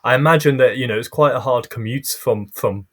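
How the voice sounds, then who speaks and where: A young man hosting a casual podcast interview, friendly and hesitant with frequent pauses and restarts. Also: medium pitch, a bit bright. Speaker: podcast host.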